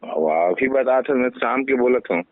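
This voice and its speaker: A man's voice: mid-range voice, expressive, a little slow, dry recording. A man with a Chhattisgarhi accent in a private recorded phone conversation, speaking in terse, informal phrases. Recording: tinny, dry recorded phone call.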